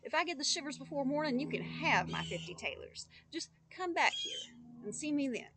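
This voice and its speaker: A simple, fearless young boy from a storybook, as a woman reading aloud puts him on. He talks earnestly and openly, his voice set high and lively. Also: normal speed. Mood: eager.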